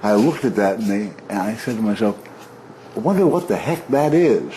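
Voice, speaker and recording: A man in his sixties from the Washington DC area, a radio veteran recalling his career at an easy, storytelling pace. His voice sits low. He trips over his own starts now and then, and a faint hiss runs underneath. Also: expressive, moderate pace, poor audio. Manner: warm reminiscing, frequent restarts and soft laughs. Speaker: interview guest, veteran radio broadcaster. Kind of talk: relaxed storytelling interview.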